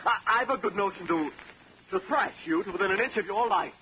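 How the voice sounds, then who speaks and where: An actor playing a pompous, indignant, blustering husband in a 1940s radio drama. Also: male voice, high-pitched, brisk, a crowd in the background, natural voice. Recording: dull noisy old radio recording.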